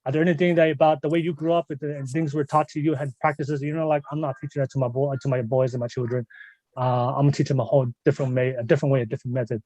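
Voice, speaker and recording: A man hosting a live podcast, asking his guests questions in a relaxed, chatty way and often repeating himself. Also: mid-range voice, racing, no room sound, low-quality recording. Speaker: podcast host. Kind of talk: casual live podcast chat.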